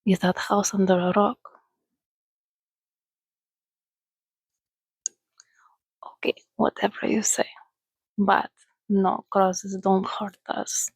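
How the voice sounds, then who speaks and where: An ancient vampire played by a woman speaks in a very breathy, hushed whisper. She takes her time, coaxing and soothing, with a gently teasing edge, as in an intimate ASMR roleplay. The sound is dry and close, with a crackle of fry at the edges. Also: medium pitch, little pitch movement, dry recording. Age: over a thousand years old, as played. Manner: slow, coaxing, conversational with pauses. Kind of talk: ASMR roleplay, soft-spoken.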